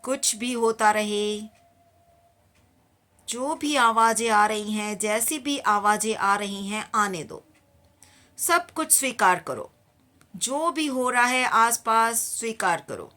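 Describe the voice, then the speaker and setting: A woman teaching guided meditation, giving calm, repeated instructions in a soothing manner. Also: fairly high pitch, slow.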